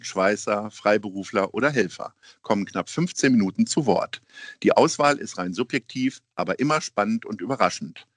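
A man's voice: mid-range voice, a bit bright, no echo, studio quality. A man hosting a podcast, reading a scripted intro and then asking a guest friendly, informal questions. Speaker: podcast host. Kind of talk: phone interview podcast.

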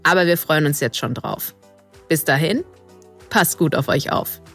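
Lowish-voiced woman hosting a podcast. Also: animated, measured pace, fairly bright tone, faint music behind. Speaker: podcast host. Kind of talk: chatty podcast presentation.

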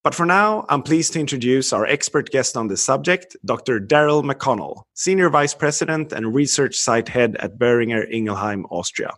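A man's voice: mid-range voice, animated, moderate pace, few pauses, fairly bright tone. A man from Sweden hosting a podcast, reading a scripted intro and then chatting loosely with fillers. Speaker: podcast host. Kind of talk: podcast interview.

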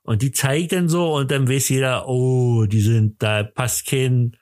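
A middle-aged man with a Berlin accent, chatting casually as a podcast host in a rambling, halting, jokey way. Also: mid-range voice, steady tone, a little mellow, dry recording, studio quality. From Berlin, Germany.

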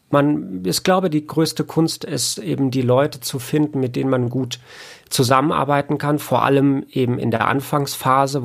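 The speaker is a man with noticeable fry in his voice. He explains his trade in loose, colloquial interview talk. He tends to circle back and rephrase as he builds a point. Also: mid-range voice. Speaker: interview guest, audio engineer and audiobook editor.

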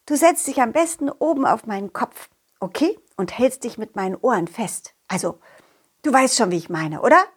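A woman's voice: fairly high pitch, expressive, normal speed, slightly rough, voice pushed down. A woman voicing Kollin, a confident, loyal talking dog, narrating a children's audio play in a simple, child-directed way. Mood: eager, encouraging. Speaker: storyteller and main character of a children's audio story.

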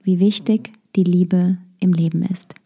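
A woman voicing Knowledge, a wise figure in a fable who answers calmly and assuredly. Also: on the low side, a little slow, breathy edge. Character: wise, calm Knowledge, a patient explainer.

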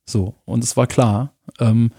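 A man in his thirties from Munich talks loosely as a guest, rambling and dropping slang with easy laughs. His voice sits at an ordinary pitch with a dark, mellow tone. The recording is dry and close, with no room around it. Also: slow pace, husky, dry recording. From Germany (Munich). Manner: relaxed, rambling, laughs often, uses slang. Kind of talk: casual conversational interview.

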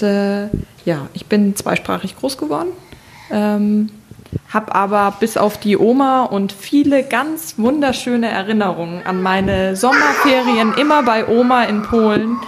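A woman's voice: medium pitch, lively intonation, measured pace, slight background noise. A woman from Germany chatting as a talk-show guest, speaking hesitantly with many fillers and light laughs. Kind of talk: casual talk-show chat.

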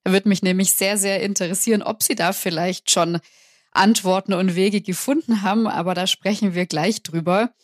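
A woman's voice: mid-range voice, fairly even intonation, moderate pace, dry recording, clean studio sound. A woman hosting an interview podcast, politely introducing topics and her guest in a formal manner.

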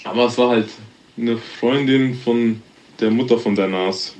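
A man's voice: medium pitch, measured pace. A man in his thirties from Germany, chatting casually on a podcast with a loose, rambling manner. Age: 30s. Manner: relaxed, rambling, with fillers and laughs. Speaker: podcast guest.

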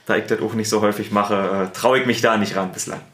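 A man's voice: fairly low pitch, fast, echoey room. Chatty man with a Berlin accent. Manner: relaxed, chatty, many fillers. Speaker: sports TV presenter and editor. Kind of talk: casual interview answers. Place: studio.

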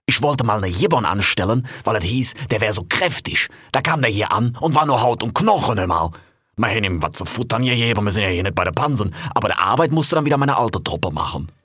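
A man's voice: fairly low pitch, lively intonation, a little fast, a bit bright, slightly rough, dry recording, clean studio sound. A man voicing a jovial, blustery old male monkey king who rambles in dialect and keeps saying "hör mal".

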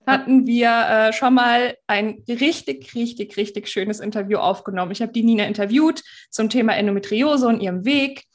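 A woman's voice: a little high, normal speed, hardly any pauses. A woman hosting a podcast in a casual, chatty, informal way with effusive wording. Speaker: podcast host and personal development coach. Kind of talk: casual podcast interview talk.

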